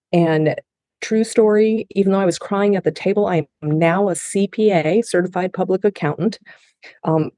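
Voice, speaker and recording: Earnest woman with a warm, mellow voice. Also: lowish voice, dry recording. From Japan. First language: Japanese. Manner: earnest and heartfelt, with frequent restarts. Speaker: guest. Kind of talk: personal testimony in a podcast interview.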